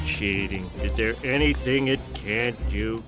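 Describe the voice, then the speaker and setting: A man playing a dim-witted, excitable middle-aged cartoon dad, blustering and whiny with childish emotion. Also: fairly low pitch, unhurried, music in the background, natural voice. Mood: dreamy. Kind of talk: animated comedy cartoon acting.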